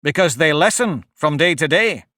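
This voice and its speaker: A man voicing a bossy, boisterous male griffin who speaks loudly and decidedly. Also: on the high side, expressive, moderate pace, no echo, clean studio sound, pitched above the performer's own voice. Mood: brisk. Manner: loud, decided and blustering.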